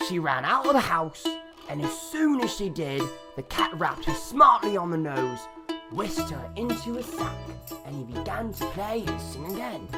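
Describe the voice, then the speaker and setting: A woman's voice: on the low side, sing-song, moderate pace. A woman storyteller narrating a children's folk tale. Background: instrumental music.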